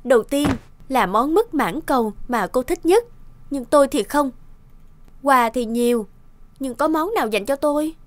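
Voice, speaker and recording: High-voiced, wistful young woman. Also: lively intonation, measured pace, no room sound. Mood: wistful, lonely. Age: young adult. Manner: earnest, emotional. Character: gentle, wistful young woman longing for a mother. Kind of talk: animated drama voice acting. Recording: loud guitar music underneath.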